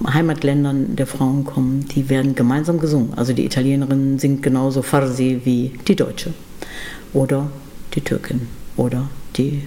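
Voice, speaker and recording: A middle-aged woman musician being interviewed, talking thoughtfully with many pauses and fillers. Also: low-pitched, a little mellow. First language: German. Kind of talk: casual interview conversation.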